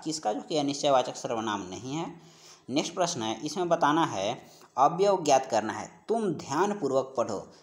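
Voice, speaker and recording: A male teacher with a somewhat high voice delivers an instructional lecture. He works through practice questions in an explanatory way, restating key phrases and answers for his students. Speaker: teacher of exam-prep grammar class.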